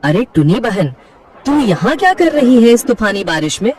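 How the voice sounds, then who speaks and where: A woman's voice: fairly high pitch, big pitch swings, normal speed, a little mellow, far from the mic. A woman voicing a kindly, worried male parrot who tells a story and warns urgently and emotionally. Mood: urgent, grieving.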